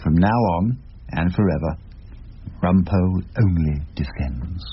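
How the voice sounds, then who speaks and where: An actor with an English accent playing a crafty, sardonic criminal barrister in a radio drama, by turns narrating and in dialogue. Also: male voice, low voice, unhurried. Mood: quiet, wry. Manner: dry, sardonic, theatrical. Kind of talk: radio drama acting and first-person narration. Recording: dull, band-limited radio recording, music behind.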